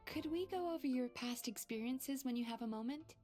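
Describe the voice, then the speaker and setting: A gentle young female game character acted by a woman, fairly high in pitch and composed. She is a sincere, perceptive healer who speaks politely and earnestly over soft background music. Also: a little fast, close to an everyday voice. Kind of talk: video game voice acting.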